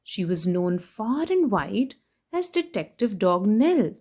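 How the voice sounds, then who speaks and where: Animated woman reading aloud to children. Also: mid-range voice, takes it slowly, breathy edge. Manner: animated, sing-song rhyme reading with playful emphasis. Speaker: children's story reader and host. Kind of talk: expressive read-aloud of a picture book with chatty asides to children.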